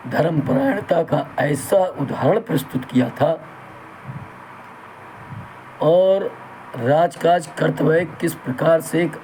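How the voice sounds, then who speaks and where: A man with a sore throat, hosting his own channel and talking in a slow, halting, folksy and reverent way. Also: a little high, a little mellow, slight background noise, low-quality recording. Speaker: channel host. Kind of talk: talk in Hindi with Bundeli dialect.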